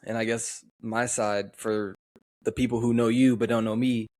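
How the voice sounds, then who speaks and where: A young man from the western United States co-hosting a podcast, talking in a relaxed, easygoing way with frequent pauses. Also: mid-range voice, no room sound, studio quality. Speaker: podcast co-host. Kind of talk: casual chat.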